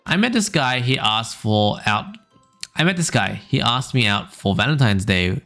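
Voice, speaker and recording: A young man hosting a casual podcast, reading funny stories aloud in a smooth low voice, rambling with fillers and small laughs. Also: normal speed. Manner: relaxed, rambling, frequent fillers and little laughs. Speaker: podcast host. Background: faint background music.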